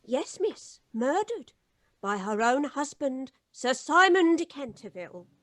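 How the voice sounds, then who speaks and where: A woman's voice: high-pitched, big pitch swings, unhurried, fairly bright tone, dry recording, exaggerated voice. A woman playing a solemn, foreboding housekeeper with a formal manner in an old-time radio drama. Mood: ominous. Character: solemn, ominous English housekeeper. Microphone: studio mic, video call.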